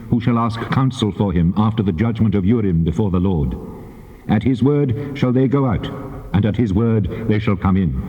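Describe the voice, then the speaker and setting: Man acting a deep, reverberant, commanding God. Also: dark tone, lightly acted. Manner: measured, authoritative, declaiming. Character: the Lord God, solemn commanding male deity. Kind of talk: dramatized scripture reading. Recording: dull tape, long cavernous reverb.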